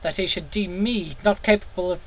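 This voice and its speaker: A man's voice: high-pitched, a little fast. A man narrating a horror story in the first person, intense and theatrical, now and then stumbling over a word. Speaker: audiobook reader.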